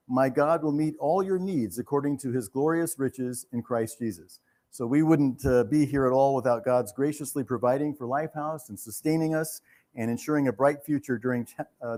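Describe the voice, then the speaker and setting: A man's voice: mid-range voice, darkish tone. A man speaking earnestly and reverently as host of a live theater event, giving a welcome speech and prayer.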